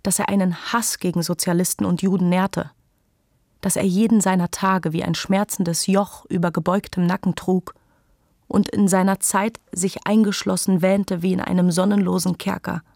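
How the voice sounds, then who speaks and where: Lady narrating a literary radio play, lowish gravelly voice, dry studio. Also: flat delivery, moderate pace, darkish tone, dry recording.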